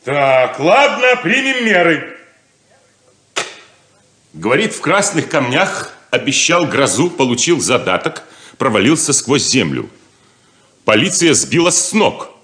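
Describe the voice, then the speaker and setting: An actor playing a brisk, commanding sheriff of a small western town in a 1970s radio drama. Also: male voice, medium pitch, sing-song, a bit bright. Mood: dry, reporting. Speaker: stage actor in a radio play. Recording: roomy 1970s radio play recording.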